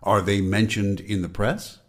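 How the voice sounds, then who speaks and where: A man's voice: lowish voice, flat delivery, a little slow, a bit bright. An actor playing a man's urgent, warning inner voice in a radio drama, giving clipped, bossy commands. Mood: grim warning. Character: Winston's inner voice, urgent, warning, needling male conscience.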